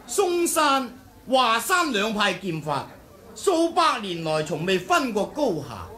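A smooth, modest swordmaster. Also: male voice, high-pitched, wildly swooping pitch, slow, bright, forceful tone, full character voice. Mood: guarded courtesy. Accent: Hong Kong Cantonese. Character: polite, guarded middle-aged male swordmaster, quietly cunning. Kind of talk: 1980s radio drama acting.

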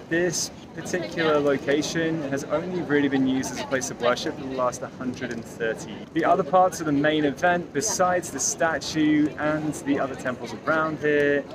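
A man in his thirties talking to a handheld camera outdoors at a temple site, reflective and thinking aloud. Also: mid-range voice. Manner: reflective, hedging thoughts aloud. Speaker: travel vlogger. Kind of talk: casual travel vlog talk to camera. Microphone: handheld camera selfie framing. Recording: outdoor noise behind.

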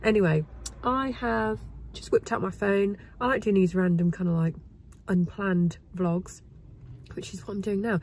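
A woman in her thirties with a cold, sounding croaky and bunged up, chatting casually to her phone camera in a parked car and then in a farm shop. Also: mid-range voice, unhurried, a little mellow. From England. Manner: chatty, bubbly, rambling asides. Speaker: vlogger. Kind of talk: casual vlog chat. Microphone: phone held at arm's length.

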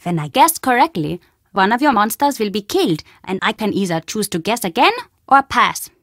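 A woman's voice: mid-range voice, sing-song, normal speed, a bit bright, dry recording, studio quality. A woman voicing a mischievous, playful young boy in a video game, with a cheeky, childlike way of talking. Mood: explaining rules. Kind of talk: video game character acting.

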